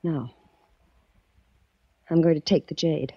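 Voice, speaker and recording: A woman's voice: deep voice, fairly even intonation, slow, dark tone, husky, no echo, heavily acted. An actress playing a vengeful young woman holding a man at gunpoint, in clipped, threatening 1940s radio acting. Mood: cold threatening. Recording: dull old radio broadcast.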